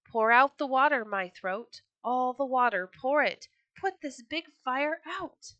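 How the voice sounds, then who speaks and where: A woman voicing a plucky, stubborn little male rooster with shrill crowing cries. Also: expressive, a little slow, a bit bright, muffled recording. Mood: chanting a charm.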